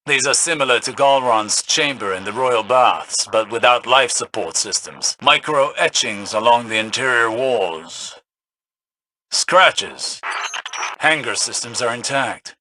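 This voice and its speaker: A man's voice: mid-range voice, lively intonation, a little slow, pushed and bright, a little hiss or hum, poor audio. An actor playing a grave, scholarly older male researcher in a video game, speaking formally and precisely. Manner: formal, precise, clipped delivery. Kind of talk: scripted game dialogue.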